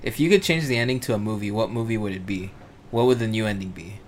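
An easygoing man in his twenties rambles through his thoughts with plenty of fillers. His voice sits low, with a faint hiss in the background. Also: fast talker. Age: 20s. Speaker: podcast co-host. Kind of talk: casual chat.

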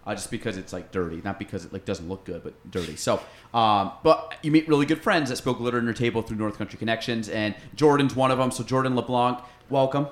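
A man's voice: medium pitch, fast. A young man hosting a podcast, chatting casually and rambling with lots of fillers. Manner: rambling, filler-heavy, jokey. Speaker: podcast host. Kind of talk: casual interview chat. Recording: echoey room.

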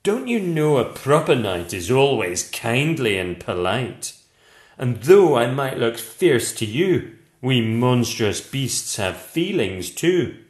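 A man voicing a gentle, kindly old male monster in a storybook reading, speaking mildly and reproachfully. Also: mid-range voice, big pitch swings, takes it slowly. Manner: mild and reproachful. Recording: roomy echoey room.

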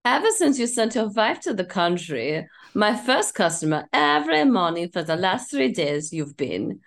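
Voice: mid-range voice, very animated, normal speed, creaky, a bit bright. A woman voicing a garrulous, good-natured male butcher who speaks folksy broken English in an old-time radio drama table read. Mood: cheerful, chatty.

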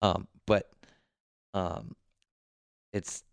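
A man's voice: low voice, little pitch movement, extremely slow, vocal fry, clean tone, no echo, clean studio sound. A man telling laid-back, rambling stories in a casual podcast chat, often restarting his sentences.

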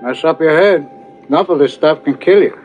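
An actor playing a plain-spoken, cautioning supply seller in a 1980s television soap drama. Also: male voice, fairly high pitch, a little fast, close to an everyday voice. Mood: wary, cautioning. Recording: muffled TV soap clip under music.

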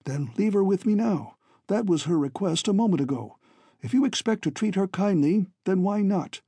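A man voicing a weary, beaten-down old scientist and father in an audiobook story, resigned and halting. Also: on the high side, fairly even intonation, warm tone, slightly rough, dry recording, pitched above the performer's own voice.

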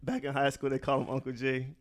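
A man's voice: medium pitch, monotone, a little fast. Young podcast guy, jokey, always teasing n laughing. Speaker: podcast co-host. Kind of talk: casual chat.

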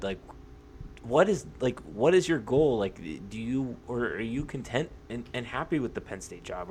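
A man's voice: on the low side, a little hiss or hum. A young man hosting a casual interview, informal and hesitant, circling his questions with repeated words. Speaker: podcast host, interviewer.

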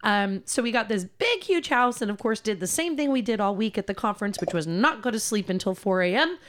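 A young woman co-hosts a casual podcast. Her voice is a little hoarse and scratchy, and she rambles along with plenty of fillers and giggles. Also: mid-range voice, animated, a little fast, a bit bright. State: slightly hoarse from lost voice. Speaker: podcast co-host. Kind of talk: casual chat.

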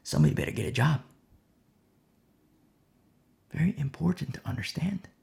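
Gravelly preacher with lively pitch. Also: racing. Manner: rhetorical, repeats questions and "you see?". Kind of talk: sermon.

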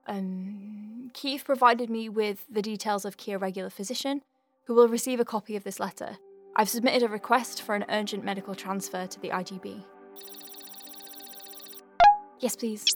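A woman's voice: medium pitch, a bit bright, close to an everyday voice. A woman playing an earnest, wry doctor in an audio drama, thinking aloud in a rambling, conversational way as if dictating notes. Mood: businesslike. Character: earnest young woman alien-clinic doctor, flustered and wry. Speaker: doctor dictating a patient letter.

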